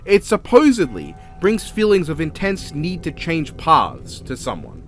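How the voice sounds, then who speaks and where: An Australian man delivers casual, opinionated review commentary with plenty of swearing. His pitch sits a little high and swings around animatedly. Soft music plays underneath the narration. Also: huge pitch swings, unhurried. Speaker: video reviewer.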